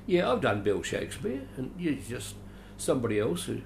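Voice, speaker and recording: Older australian guy, gravelly, chatty and rambling, dry little jokes, faint noise. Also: medium pitch, sing-song, normal speed. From Adelaide, Australia. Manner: rambling, chatty, self-deprecating with dry asides. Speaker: veteran actor, interview guest. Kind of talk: reminiscing conversational interview.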